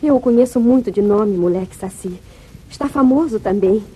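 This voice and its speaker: An actress playing an anxious, grateful young rancher's daughter seeking help, with a Brazilian accent, in an old radio serial. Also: female voice, mid-range voice, moderate pace, mellow, husky, lightly acted. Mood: relieved, pleading. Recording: muffled vintage radio drama recording.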